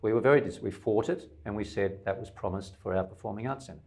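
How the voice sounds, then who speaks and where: A man's voice: lowish voice, fast, darkish tone. An Australian man, a middle-aged independent candidate, answering interview questions emphatically in long lists, with some stammered restarts.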